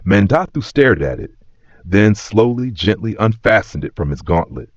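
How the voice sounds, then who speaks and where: Deep-voiced solemn male storyteller in echo. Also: animated. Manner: solemn, measured, reverent. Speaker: narrator. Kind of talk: scripted dramatic audio storytelling. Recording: roomy echo, slightly dull sound.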